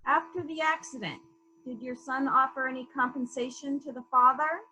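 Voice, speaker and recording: A woman's voice: high-pitched, moderate pace, sharp tone, rough recording. A woman playing a polite, probing police inspector in a murder mystery reading, asking formal, clipped questions.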